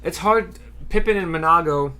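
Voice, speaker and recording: A man's voice: on the high side, normal speed, sharp tone, smooth. A man giving informal, muttered commentary as he plays and reads through a video game. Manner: informal, self-critical, muttering asides. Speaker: gaming streamer. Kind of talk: casual commentary while voicing game dialogue.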